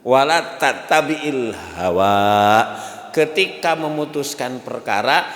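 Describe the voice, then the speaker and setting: A man with a Sundanese accent giving a lively, joking mosque lesson, chanting Arabic verses slowly between his explanations. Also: medium pitch. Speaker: ustadz teaching a mosque study class. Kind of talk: sermon. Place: large mosque hall. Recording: echoey mosque hall.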